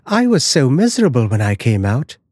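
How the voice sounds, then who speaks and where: A man voicing a sweet, gentle little girl in a soft childlike manner. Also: medium pitch, big pitch swings, brisk, no room sound, studio quality.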